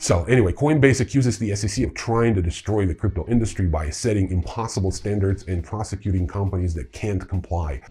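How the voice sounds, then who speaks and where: A man's voice: sits low, warm tone, breathy edge. A middle-aged man hosting a news rundown, reading semi-scripted items with informal, wry asides. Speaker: host of a crypto news show. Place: indoor room with wooden staircase.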